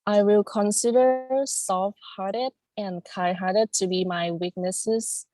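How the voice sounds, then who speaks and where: Young Thai woman student, slow, calm formal answers, dry sound. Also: mid-range voice, no echo. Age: young adult. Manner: calm, careful, formal wording. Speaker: university student. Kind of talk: mock job interview answers.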